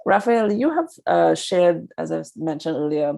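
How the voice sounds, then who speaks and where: Low, gravelly-voiced woman moderator. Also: expressive, normal speed, dark tone. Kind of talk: hosting a panel discussion.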